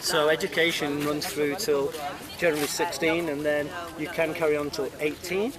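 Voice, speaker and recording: A friendly British man talks easily in a street interview, pausing on ums as he thinks. His voice sits a little high and sounds some way from the mic. A crowd chatters clearly behind him outdoors. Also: level tone. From United Kingdom. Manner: chatty, friendly, hesitant with fillers. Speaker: tourist interviewee. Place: outdoors at a busy tourist site. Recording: distant mic with crowd noise.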